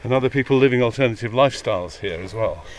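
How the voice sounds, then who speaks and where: A man's voice: medium pitch, expressive, very fast. A man hosting a walking interview, asking short, curious questions while a little out of breath climbing a hill outdoors. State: a bit out of breath. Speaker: podcast host. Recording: slightly distant outdoor mic, faint noise.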